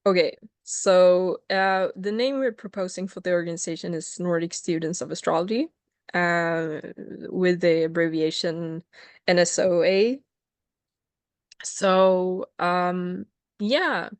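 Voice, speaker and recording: A young non-binary student chairing a casual meeting on a video call, talking with many pauses and fillers. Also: mid-range voice, fairly even intonation, smooth, no echo.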